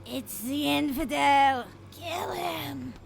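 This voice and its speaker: High, creaky demonic ghoul nun. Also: female voice, slow pace, slight background noise, exaggerated voice. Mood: bloodthirsty alarm. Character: demonic female ghoul nun, bloodthirsty and fanatical.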